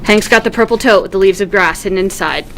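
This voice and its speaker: A woman's voice: medium pitch, quick pace, fairly bright tone, slight background noise. A woman reading screenplay stage directions aloud as scripted narration, in a vivid storytelling manner.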